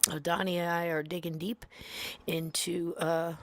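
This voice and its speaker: A woman with a low voice hosts a podcast in relaxed, rambling chat. She drifts between topics and sometimes drops to a hush for effect. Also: normal speed. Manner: rambling, chatty, with hushed asides. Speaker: podcast host. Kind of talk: casual chat.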